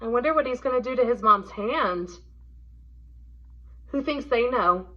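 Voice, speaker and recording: A woman reading a story aloud to young children in an expressive, teacherly way. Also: on the high side, measured pace. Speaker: storybook reader. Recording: dull, lo-fi sound.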